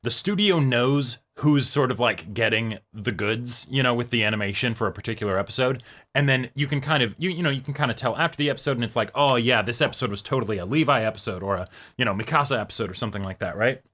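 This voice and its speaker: A man's voice: mid-range voice, fast, bright, forceful tone. A man co-hosting a casual episode review, speaking in an enthusiastic, conversational way with some swearing. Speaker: reaction show co-host.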